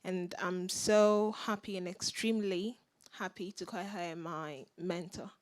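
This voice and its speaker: Woman warmly introducing a panel chair. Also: on the low side, fairly even intonation, unhurried. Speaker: event host introducing the chair.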